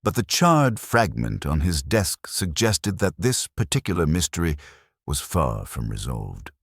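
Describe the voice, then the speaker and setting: Very deep-voiced man narrating a detective story. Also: big pitch swings, creaky, dry recording, studio quality. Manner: measured, literary storytelling delivery.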